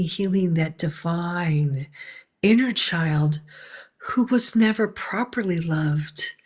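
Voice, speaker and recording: Woman, meditation guide, half-whispered, very breathy, very slow, soft and hushed. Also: medium pitch.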